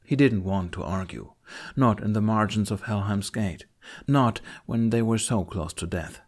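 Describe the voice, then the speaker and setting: Low-voiced man narrating fantasy. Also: measured pace, a little mellow. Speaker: audiobook narrator. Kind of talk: scripted narration of a fantasy story.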